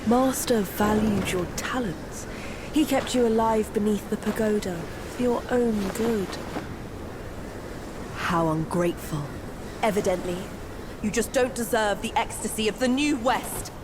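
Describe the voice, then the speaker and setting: A woman voicing a cold, scornful demon servant in a video game cutscene, talking in a cool, measured way. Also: mid-range voice, lightly acted. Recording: game cutscene with loud ambient noise.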